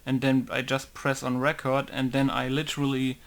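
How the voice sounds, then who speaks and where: Man, casual modding tutorial, rambling, gravelly, home desk mic. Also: medium pitch, completely flat delivery, normal speed, a bit bright. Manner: rambling, informal, swears casually. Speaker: game modder.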